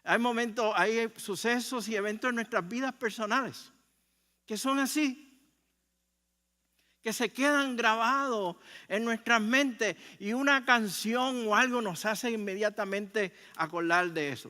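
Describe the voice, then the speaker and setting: A male pastor with a Puerto Rican accent delivers a sermon in a bright, smooth, resonant voice. He phrases deliberately, pausing as he tells stories conversationally. The sound carries the long reverb of a large hall. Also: high voice. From Puerto Rico. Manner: deliberate phrasing, dramatic pauses, conversational storytelling. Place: large room, speaking to a congregation.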